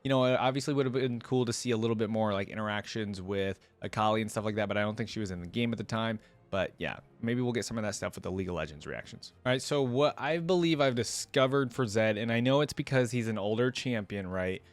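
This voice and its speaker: A man's voice: lowish voice, fast talker, hardly any pauses. A man hosting a reaction video, talking casually to viewers in a rambling, conversational way as he mulls things over.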